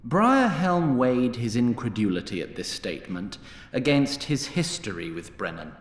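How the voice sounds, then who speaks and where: A man giving scripted narration for a fantasy audio drama, in a wry and deliberate manner. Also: medium pitch, big pitch swings, reverberant.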